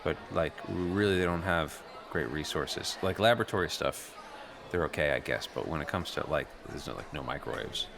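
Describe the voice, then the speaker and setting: Casting: awkward teen transfer student, bottom-of-the-range voice, understated improv comedy delivery, unsure and stop-start. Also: male voice, a bass voice, gravelly. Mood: guarded. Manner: hesitant, halting, understated. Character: self-doubting teenage boy, new transfer student at school. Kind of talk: improvised comedy dialogue, naturalistic casual chat. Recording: noisy room ambience.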